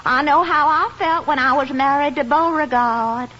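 An actress playing a coy, flirtatious Southern belle widow with a gushing, drawn-out delivery and Southern accent in a 1950s radio comedy. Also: female voice, high-pitched, natural voice. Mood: playful, coy. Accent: American South. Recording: muffled, noisy vintage radio recording.

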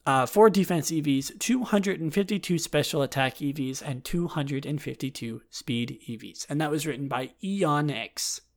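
A man with a raspy, worn-out throat hosting a casual solo episode. He rambles with frequent restarts and self-deprecating asides, and sometimes reads a written write-up aloud. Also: a little high, moderate pace, few pauses. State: hoarse, raspy throat from talking a lot. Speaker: podcast host.

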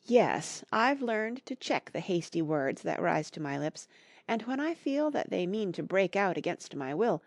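A woman voicing a gentle, patient middle-aged mother in a classic novel, giving soft, measured counsel. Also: medium pitch, sing-song, no echo. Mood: confessing, calm. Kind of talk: acted audiobook dialogue from a classic novel.

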